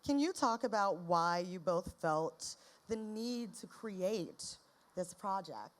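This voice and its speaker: A woman's voice: medium pitch, animated, takes it slowly. A woman moderating a panel, posing questions in a direct and inviting way.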